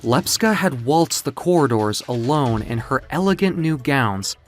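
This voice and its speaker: Audio drama narrator, animated intonation, theatrical, measured scripted storytelling, background music. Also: mid-range voice.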